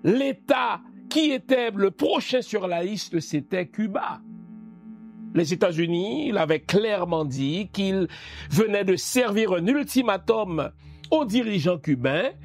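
Commentator, male, from Haiti; emphatic, addresses the listener, drawn-out delivery, soft music under. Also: high-pitched, lively intonation, creaky. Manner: emphatic, rhetorical questions to the listener. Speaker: political commentator. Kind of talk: spoken commentary.

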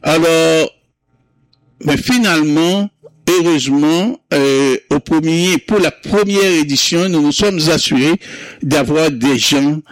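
Man, Creole native, interview guest: unhurried, fairly high voice, halting with fillers and restarts, dry close sound. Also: no echo, rough recording. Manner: slow, hesitant, many fillers and restarts. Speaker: founder of a community Creole month. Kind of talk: interview recollection.

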